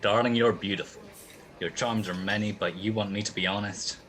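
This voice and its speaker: A man's voice: on the low side, fairly even intonation, fairly quick, fairly bright tone, lightly acted, poor audio. An actor playing a wry, tough-talking private detective who narrates his cases in a 1950s radio drama style. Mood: playful teasing. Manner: slangy, wry, clipped tough talk.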